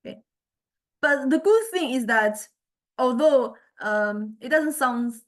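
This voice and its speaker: A woman gives an explanatory lecture as she demonstrates software. Her voice sits somewhat high. She speaks haltingly, repeating words and searching for the right term as she goes.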